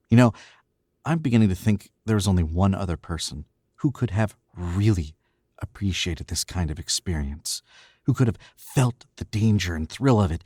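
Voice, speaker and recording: A man's voice: on the low side, darkish tone, slightly rough, no echo, studio quality, full character voice. A man acting in an old-time radio drama as a cool, condescending young murderer, self-assured and suave. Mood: smug, musing.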